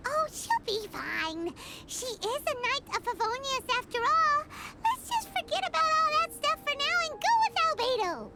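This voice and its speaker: A woman voicing a tiny, excitable fairy companion girl who talks about herself in the third person, eager and pushy, in a video game cutscene. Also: very high voice, moderate pace, few pauses, exaggerated voice. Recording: game cutscene over loud background music.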